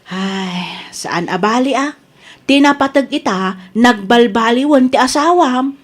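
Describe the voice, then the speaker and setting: A concerned older mother in an Ilocano radio drama. Her voice is fairly high, with an Ilocano accent and a caring, questioning manner. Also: expressive, a little slow. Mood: gently questioning.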